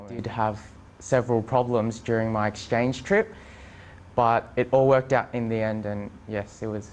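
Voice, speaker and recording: A young adult man giving an unscripted testimonial as a former exchange student. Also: mid-range voice. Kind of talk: unscripted interview testimonial to camera. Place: indoor studio with plain backdrop.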